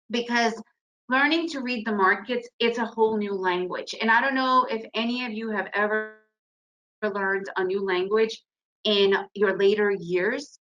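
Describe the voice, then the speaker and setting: An energetic woman chats through a webinar over a computer mic. Her voice sits a little high and sounds strongly bright and forward. She restarts her sentences often and keeps asking "right?". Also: low-quality recording. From Soviet Union, raised in the United States. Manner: energetic and chatty, with frequent restarts and "right?" tags. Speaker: webinar presenter, day trader. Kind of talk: webinar presentation. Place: home in Guatemala.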